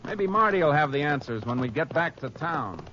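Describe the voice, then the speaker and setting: An actor playing a calm Texas Ranger who narrates a 1950s radio drama, talking in a steady, matter-of-fact way. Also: male voice, fairly high pitch, fairly quick, music in the background. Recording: dull lo-fi old radio recording with faint hiss.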